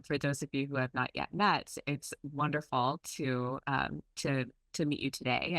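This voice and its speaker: Bright-voiced woman on a video call. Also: a bass voice, no room sound. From Hawaii. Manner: warm, earnest, with frequent ums. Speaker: community relations presenter. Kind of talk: conference talk over video call. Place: outdoors at home.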